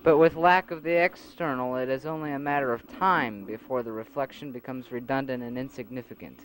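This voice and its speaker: A man's voice: medium pitch, brisk, a little hiss or hum, natural voice, low-quality recording. A man voicing a cheerful old man who speaks eagerly and persuasively in an amateur audio drama. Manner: bright, enthusiastic and persuasive.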